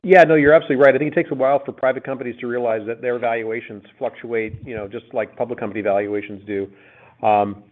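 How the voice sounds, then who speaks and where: The hurried male executive you would hear fielding investor questions over a thin conference line, circling back over his words. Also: mid-range voice, steady tone. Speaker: company finance executive answering analysts on an earnings call. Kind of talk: unscripted business answers on a conference call. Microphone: conference call line. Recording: phone-like narrow-band conference call audio.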